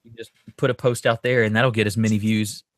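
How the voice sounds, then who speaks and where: A man co-hosting a podcast in relaxed, casual banter. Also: lowish voice, brisk, dry recording, clean studio sound. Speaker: podcast co-host.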